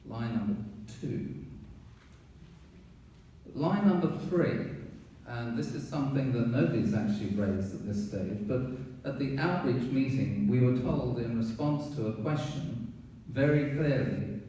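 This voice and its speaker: Man, public commenter, accusatory and halting, mellow timbre, booming hall echo, distant mic. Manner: pointed, accusatory, halting with fillers. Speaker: resident giving public comment. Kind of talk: public comment at a town board hearing. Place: town meeting hall. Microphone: room mic from a distance. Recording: echoey hall, distant mic, faint hiss.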